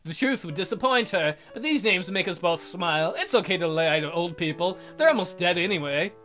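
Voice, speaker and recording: A woman narrates a visual novel as a let's play host, with music playing behind her. Her delivery is lively and wry, slipping into irreverent asides. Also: on the low side, brisk.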